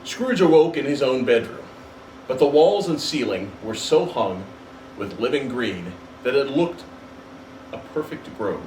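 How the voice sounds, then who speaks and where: A man reading a classic story aloud as narrator, in an expressive storybook style. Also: medium pitch, unhurried. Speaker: story reader. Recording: roomy, distant mic, faint hiss.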